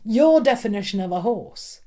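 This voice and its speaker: A woman's voice: mid-range voice, expressive, moderate pace, mellow, pitched above the performer's own voice, lightly acted. A woman voicing a stern, pompous male schoolmaster who makes clipped, commanding pronouncements in a dramatic audiobook reading.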